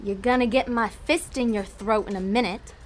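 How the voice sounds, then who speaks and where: A woman playing a tough-talking, sarcastic demon hustler in an audio comedy drama, deadpan and streetwise. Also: a little high, normal speed, a little hiss or hum, natural voice. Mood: sarcastic, irritated.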